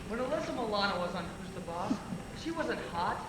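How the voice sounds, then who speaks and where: A man plays a well-off preppy teenager, defensive among friends, in a stage comedy. The voice rings in a very echoey hall with clear background noise. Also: sits high, very animated, a little fast. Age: young adult. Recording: cavernous echo, noisy stage recording.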